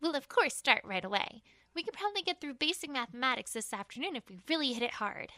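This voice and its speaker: A woman's voice: sits high, big pitch swings, fast, sharp tone, dry recording, clean studio sound, full character voice. A woman voicing a friendly, studious young adult female pony in an audio story, earnest and articulate. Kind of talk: acted audio story dialogue.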